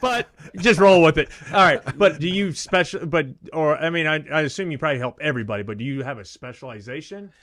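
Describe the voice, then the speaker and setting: A man hosting a casual interview who asks quick, rambling questions and pokes fun at himself. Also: a little high, fairly bright tone, no echo, clean studio sound. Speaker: interview host.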